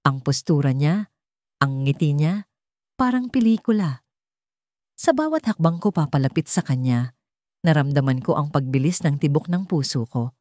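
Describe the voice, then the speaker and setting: A woman's voice: low voice, animated, moderate pace, long pauses, dark tone, dry recording, studio quality. A woman narrating a scripted first-person story as a storyteller.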